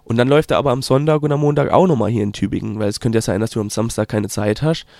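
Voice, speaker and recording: Palatinate-accented man, halting, dry sound. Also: mid-range voice, fairly even intonation, gravelly, a little mellow, no echo. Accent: Palatinate German. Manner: hesitant, many filler sounds, slow drawn-out phrasing. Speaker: documentary filmmaker guest. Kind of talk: casual interview answers.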